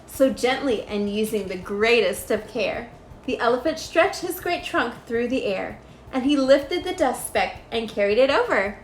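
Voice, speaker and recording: A woman reading a rhyming children's story aloud in an expressive, measured storytelling style. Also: fairly high pitch, a little hiss or hum.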